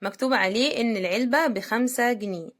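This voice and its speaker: Casting: Egyptian woman, fairly high voice, chatty unboxing host speaking straight to viewers. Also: fast, fairly bright tone. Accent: Egyptian Arabic. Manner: chatty, addresses viewers directly. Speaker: host of product unboxing videos. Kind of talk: casual unboxing commentary to viewers.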